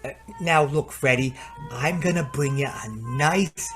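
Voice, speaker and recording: Radio comedy schemer, coaxing and jittery, slightly high voice at a slow pace man acting. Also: a bit bright, soft background music. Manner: halting, coaxing. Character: wheedling, nervous, scheming hapless man in trouble. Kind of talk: amateur old-time radio comedy acting.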